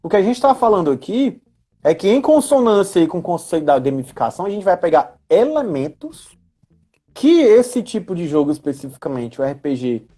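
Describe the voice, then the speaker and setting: Homem brasileiro explicando com pausas. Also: male voice, sits high, big pitch swings, warm tone. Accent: Brazilian. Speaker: live stream discussion participant. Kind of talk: casual explanatory live discussion. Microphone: live video call.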